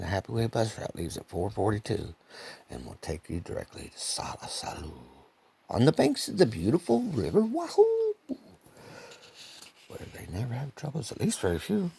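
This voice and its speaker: A bossy, coaxing storybook traveller read out by an older man. The voice is heavily gravelly and mellow, and it swings around in pitch. He presses his point insistently, saying phrases over again. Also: wildly swooping pitch, moderate pace, exaggerated voice. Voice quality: gravelly, husky. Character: bossy, cajoling camel-cart traveller from a storybook.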